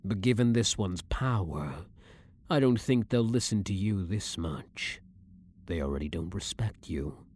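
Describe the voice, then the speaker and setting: A man playing a blunt, dominant, brooding vampire hunter who is secretly a vampire, in a husky voice with measured, formal, theatrical phrasing for an ASMR roleplay. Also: low voice, animated, darkish tone, dry recording. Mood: somber, conflicted. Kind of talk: ASMR roleplay audio drama acting.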